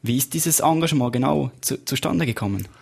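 A man's voice: mid-range voice, expressive, a little fast, creaky, darkish tone, husky. A man hosting a radio interview, asking questions steadily and giving brief affirmations. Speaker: radio host.

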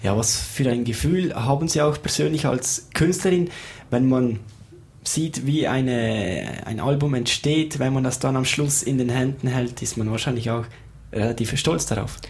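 A man's voice: mid-range voice, normal speed, a little mellow. A man hosting a radio interview, asking polite, formal, wordy questions. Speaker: radio show host.

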